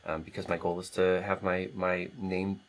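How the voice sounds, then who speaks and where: A man's voice: low voice, little pitch movement, normal speed. An American man, an author, answering interview questions in an earnest, candid, conversational way. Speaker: author, podcast guest.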